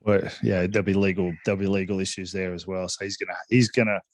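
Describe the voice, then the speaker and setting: A man from Hong Kong co-hosting a sports podcast, chatting casually in a measured, understated way. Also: deep voice, little pitch movement.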